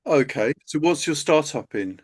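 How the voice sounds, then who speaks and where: A man hosting a live webinar over a video call, chatty and halting, with many fillers. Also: fairly high pitch, expressive, slightly rough. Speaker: live stream host, accountant. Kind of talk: casual live webinar talk.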